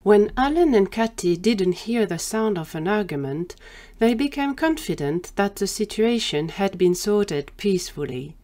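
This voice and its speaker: A woman's voice: mid-range voice, animated, a little slow, dark tone. A woman narrating a scripted audio drama story as the storyteller.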